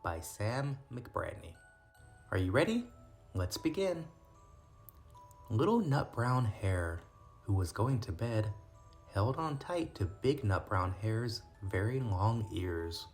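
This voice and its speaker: A man narrating a picture book for young children in a gentle, clearly enunciated way, as a storytime host. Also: fairly low pitch, sing-song, slow. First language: English. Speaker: storybook reader and channel host. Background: music.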